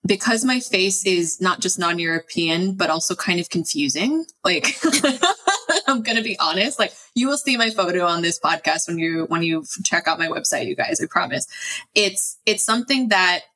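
A woman's voice: fairly low pitch, animated, moderate pace, bright, forceful tone. A woman from the United States, a podcast guest, talking in an earnest, reflective way with light laughs. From Los Angeles, United States. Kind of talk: casual interview conversation.

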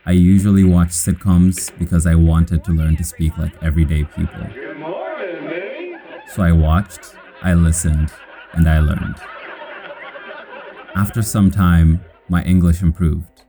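A man from Kenya with an American accent, telling his own story as scripted narration in plain, measured sentences. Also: low-pitched, level tone, warm tone.

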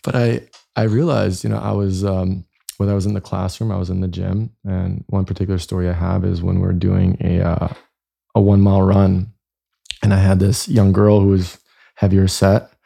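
A man's voice: low voice, creaky, mellow, breathy edge. A man born in Croatia, chatting casually as an interview guest and telling anecdotes. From born in Croatia, lived in Germany and Canada. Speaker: podcast guest, chiropractor and speaker.

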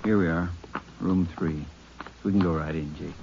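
An easygoing small-town sheriff talks in a lowish, folksy, reassuring way. The old radio recording sounds dull with faint noise. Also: male voice, steady tone, moderate pace, gravelly. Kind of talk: 1950s radio drama acting.

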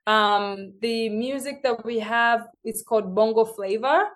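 A young woman with a Tanzanian accent, a guest in a casual interview, telling stories in a lively way and acting out what others said to her. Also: fairly high pitch, unhurried.

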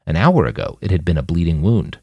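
Highly expressive, lower-pitched male narrator. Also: fairly quick, breathy edge, no echo. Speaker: author and narrator of a fiction podcast. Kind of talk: scripted audiobook narration.

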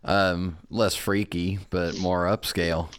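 A man's voice: low-pitched, steady tone, takes it slowly, clean tone, dry recording, clean studio sound. A man hosting a podcast interview in casual chat, joking and chuckling at his own quips. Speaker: podcast host.

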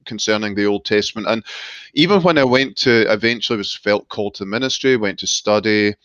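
نبرة رجل اسكتلندي هادئة ومدروسة. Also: male voice, on the low side, brisk, fairly bright tone, smooth, no echo, highs cut off. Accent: Glaswegian Scottish. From Scotland. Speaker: seminary professor and guest. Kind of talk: reflective interview talk. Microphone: remote call line.

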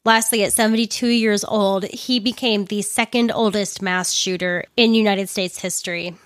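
A woman, somewhat hoarse from losing her voice, narrating a crime story with earnest personal commentary. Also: medium pitch, fairly even intonation, moderate pace, dry recording, studio quality.

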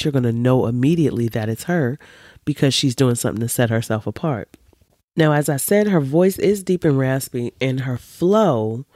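A woman in her forties with a deep voice, hosting a podcast in an emphatic, animated, opinionated monologue full of casual swearing. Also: no room sound, studio quality. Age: 40s. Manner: emphatic and animated, swears freely, preachy asides. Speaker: podcast host.

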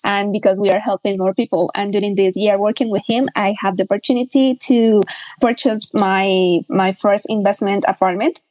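A Colombian woman in her twenties speaks English carefully, pausing on fillers. Her voice sits in a middle pitch. It sounds dry and close over a video call. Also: steady tone, darkish tone, no room sound, rough recording. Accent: Colombian Spanish. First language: Spanish. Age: 20s. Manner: careful, unhurried, frequent fillers. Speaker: closing coordinator.

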